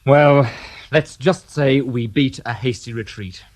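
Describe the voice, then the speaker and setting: A man's voice: medium pitch, takes it slowly, close to an everyday voice. An actor playing a skeptical male police inspector with a dry, sardonic manner in a radio drama. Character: wry, skeptical middle-aged male detective.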